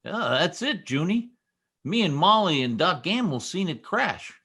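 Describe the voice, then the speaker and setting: A man's voice: high-pitched, sing-song, measured pace, pushed and bright, husky, exaggerated voice. A man playing a boastful, folksy small-town husband full of slangy bluster in an old-time radio comedy. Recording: video call recording.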